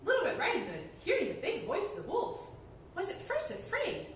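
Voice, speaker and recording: Animated woman storyteller, echoey room. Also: very high-pitched, brisk, slight background noise. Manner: animated, laughing often. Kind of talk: reading a fairy tale aloud to children. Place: library room. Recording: echoey room, heard from far off.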